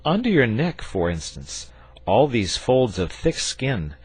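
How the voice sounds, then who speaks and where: Man playing a hearty knight, coaxing, loud, lively, husky. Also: mid-range voice, unhurried, no echo, voice pushed up. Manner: persuasive, hearty, patient. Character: Saint George, courteous persuasive knight.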